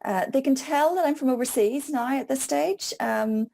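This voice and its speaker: A woman's voice: high voice, normal speed, creaky, mellow. A woman from Donegal with an Irish accent, answering questions as a guest on a video call in a chatty, self-mocking way. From Donegal, Ireland. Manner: chatty, explanatory, laughing at herself. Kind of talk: online group Q&A.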